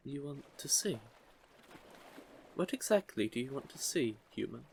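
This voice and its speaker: A man voicing a curious, teasing young merman in an intimate audio roleplay, with a gently formal, measured way of talking. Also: mid-range voice, animated, creaky, slight background noise. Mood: playful teasing. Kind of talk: scripted audio roleplay, intimate one-sided conversation.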